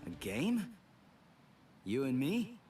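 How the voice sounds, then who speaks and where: A man's voice: medium pitch, wildly swooping pitch, takes it slowly, darkish tone, distant mic, faint music behind. An actor playing a blunt, hot-tempered, sarcastic teenage boy hero in an English anime game dub. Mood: dismissive. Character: brash, hot-tempered teenage substitute soul reaper.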